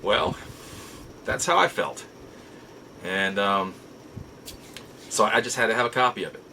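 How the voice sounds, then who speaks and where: A middle-aged man hosting a show, telling nostalgic stories casually in a halting, reflective way with emphatic repeats. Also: lowish voice, animated, vocal fry, bright, forceful tone, a little hiss or hum, low-quality recording. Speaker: show host. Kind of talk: casual nostalgic storytelling to viewers.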